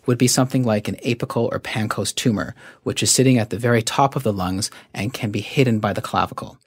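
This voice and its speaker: A man with a fairly low voice speaks as an expert guest in a medical interview. He explains methodically and didactically in measured phrases, and the sound is dry with little room echo. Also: slightly rough.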